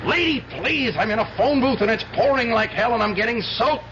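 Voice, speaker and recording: A desperate, agitated man in a radio play, stammering and urgent. His high voice swings widely in pitch, on a dull old broadcast. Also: expressive, brisk, noisy background. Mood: frantic, pleading. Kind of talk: 1970s radio drama acting.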